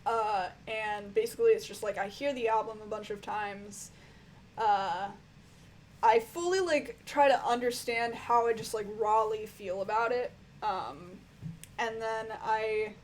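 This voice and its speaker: Croaky-voiced woman chatting casually. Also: on the high side, a little slow, a little hiss or hum. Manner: rambling, filler-heavy, frank and swearing. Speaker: music reviewer answering viewer questions. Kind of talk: casual Q&A chat.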